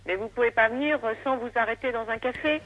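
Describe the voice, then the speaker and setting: High-voiced woman on a dull phone line. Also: fast, no room sound. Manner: polite, patient, gently reproving. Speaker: alcoholism prevention helpline worker. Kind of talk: phone conversation. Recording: dull, dry telephone line.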